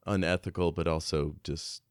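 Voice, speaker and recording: A man co-hosting a podcast, casually discussing a book and thinking aloud in a halting way. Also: very deep voice, little pitch movement, smooth, dry recording, studio quality. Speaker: podcast co-host.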